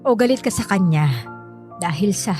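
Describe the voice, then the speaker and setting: A gentle middle-aged mother in a radio drama, played by an actress, mellow and soft-spoken over background music. She pleads sorrowfully with her grown son, hesitant as if confessing. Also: mid-range voice, lively intonation, moderate pace, lightly acted.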